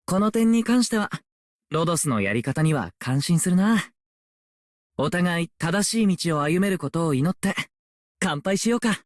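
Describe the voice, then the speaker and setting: Thoughtful young male game character, highish voice, moves up and down a lot. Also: expressive, fast, no echo. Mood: reflective. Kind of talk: scripted game character voice lines.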